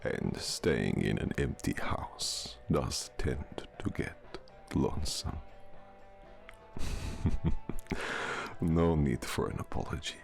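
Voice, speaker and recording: A man playing an ancient, courtly vampire count, formal, suave and often breathy, in an intimate ASMR roleplay. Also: extremely low pitch, lively intonation, slow, long pauses, heavily acted. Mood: courtly, inviting. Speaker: voice actor. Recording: music behind the voice.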